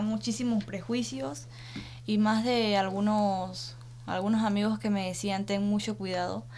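A woman chats as a journalist guest, telling her answers through small personal stories. Her pitch sits mid-range. A faint hum runs low in the background. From Panama. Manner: chatty, anecdotal. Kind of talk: radio interview answers.

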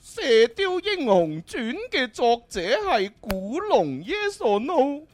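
Lively, animated man hosting radio banter. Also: very high voice, slow. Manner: teasing, playful. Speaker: radio show host. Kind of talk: casual banter and quiz hosting.

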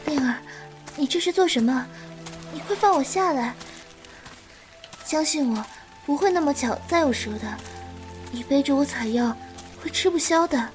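An actress playing a sick, gentle young woman herbalist in a period wuxia audio drama, speaking softly, tenderly and wearily. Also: high-pitched, expressive, moderate pace, pauses often, soft background music. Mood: tender, protesting. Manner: gentle, weary, tender, coaxing.

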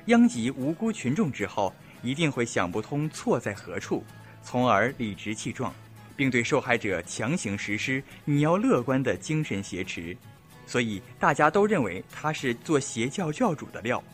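A man's voice: mid-range voice, very animated, moderate pace. A man reading scripted narration for an audio drama. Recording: music playing behind.

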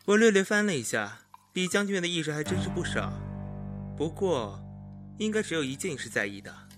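A man playing a sharp-tongued, articulate confidant of an imperial official in a Chinese costume audio drama. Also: a little high, very animated, a little fast, over music. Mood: brisk reporting. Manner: wry, articulate, needling.

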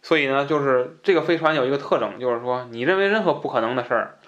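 A man's voice: medium pitch, fast, fairly bright tone, lo-fi sound. Man hosting an informal explanatory book talk. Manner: conversational, rambling asides, repeats phrases for emphasis. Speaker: podcast host.

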